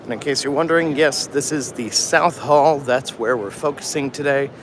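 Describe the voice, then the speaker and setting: A man co-hosting a casual livestream walk through a trade show, easygoing and quick to laugh, recorded on a phone. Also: medium pitch, background noise. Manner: easygoing, chuckling, chatty. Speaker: co-host. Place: busy trade show hall. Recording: phone audio in crowded hall.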